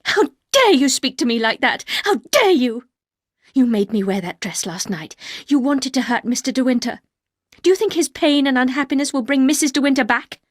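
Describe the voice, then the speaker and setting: Woman reader doing a young wife, high, earnest and pleading; dry close sound. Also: steady tone, a little fast, hardly any pauses, darkish tone, dry recording. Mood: upset. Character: timid young wife, pleading then angry.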